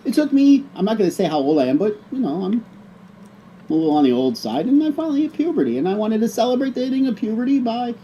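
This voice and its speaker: A man's voice: high-pitched, sing-song, fast, mellow, highs cut off, a little hiss or hum. A middle-aged man co-hosting a casual chat show, joking and teasing. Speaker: co-host.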